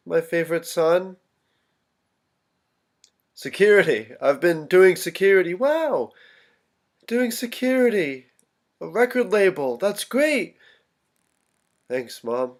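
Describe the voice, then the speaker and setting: Guy playing a troubled son losing his grip, high voice, slow and halting, stage play reading. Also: very animated, exaggerated voice. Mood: upset. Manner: halting, repetitive, switching tones of voice. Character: troubled young son losing his grip, pleading, echoing his vanished family.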